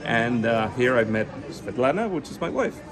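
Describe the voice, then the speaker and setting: A man's voice: mid-range voice, big pitch swings, normal speed, poor audio. A man from northern Spain with a Spanish accent, explaining his work as an interview guest. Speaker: interview guest, garden designer. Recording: crowd and urban sounds behind.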